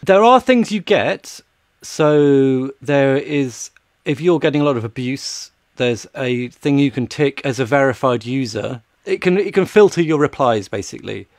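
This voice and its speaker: Guy in his 30s, low-key tech podcast chat, very dry close mic, mid pitch. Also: expressive, unhurried, darkish tone, dry recording. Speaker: podcast co-host. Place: small home office.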